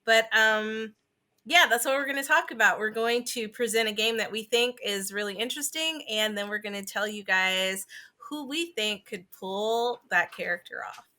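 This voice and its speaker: A woman's voice: a little high, normal speed, bright, forceful tone. A woman co-hosting a podcast, talking casually and informally about video games, often saying "like". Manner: informal, full of "like". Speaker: podcast co-host.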